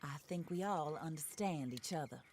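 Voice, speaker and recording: An actress playing a wealthy, genteel widow set on revenge, speaking in a refined, formal, well-spoken manner. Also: female voice, sits low, moderate pace, a little mellow, a little hiss or hum, lightly acted. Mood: calm, composed. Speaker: patron hiring outlaws. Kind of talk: video game cutscene acting.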